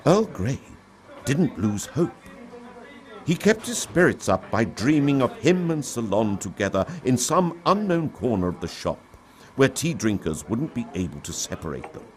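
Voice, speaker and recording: A man reading a story aloud in an expressive, theatrical storybook style. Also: mid-range voice, moderate pace. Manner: theatrical, dramatic delivery. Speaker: story reader.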